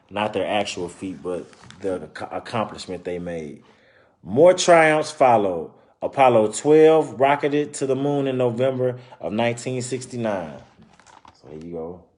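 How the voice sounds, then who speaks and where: A man's voice: mid-range voice, darkish tone. A man reading a children's book aloud, breaking off into informal, conversational explanations and repeating points. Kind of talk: read-aloud with casual explanatory asides.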